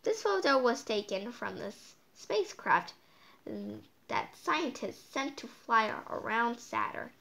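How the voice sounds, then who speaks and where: Female storytime host, higher-pitched, unhurried and halting read-aloud, playful chatty asides. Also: big pitch swings, gravelly, a bit bright. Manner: halting reading, stumbling over words; playful, exclaiming. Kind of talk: reading a picture book aloud with chatty asides.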